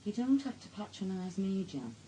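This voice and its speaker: An actress playing a shrewd, suspicious wife with a Northern English accent and a dry, bitter wit in a naturalistic radio drama, speaking in a pointed, probing way. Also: female voice, medium pitch, big pitch swings, moderate pace, warm tone, slight background noise, natural voice, low-quality recording.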